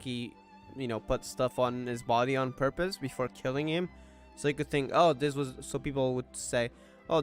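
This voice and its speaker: A man giving casual reaction commentary as he plays through a game, thinking aloud hesitantly. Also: mid-range voice. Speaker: gamer reacting to a story quest. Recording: game music behind.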